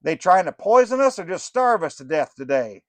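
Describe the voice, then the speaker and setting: A man doing a loud, cackling aging rocker. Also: high-pitched, big pitch swings, moderate pace, no echo, pitched above the performer's own voice. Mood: joking. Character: aging male rock star inmate, cackling joker.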